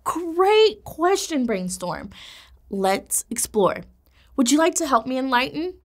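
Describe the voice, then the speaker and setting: A woman in her thirties, a teacher presenting a kids' educational video with an animated, encouraging manner. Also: high voice, slow. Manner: animated, encouraging, gestures while speaking. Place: indoor classroom studio set.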